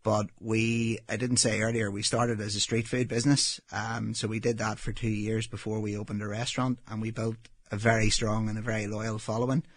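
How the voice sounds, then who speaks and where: A Northern Irish man in his thirties, a restaurant owner answering interview questions in a relaxed, matter-of-fact way with frequent ums. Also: fairly low pitch, a little fast, hardly any pauses, no echo. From Northern Ireland.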